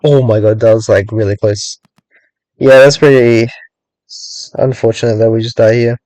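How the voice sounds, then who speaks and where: A young man talks through his game in a loose, meandering way, filling gaps with "like" and half-finished thoughts. His voice is a touch raspy after long talking and sits on the mellow, darker side. The sound is dry and close, with no room around it. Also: medium pitch, fairly even intonation, moderate pace, no echo, soft background music, poor audio. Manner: rambling, thinks aloud, many "like"s and hedges. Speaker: gaming streamer. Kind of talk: casual gameplay commentary.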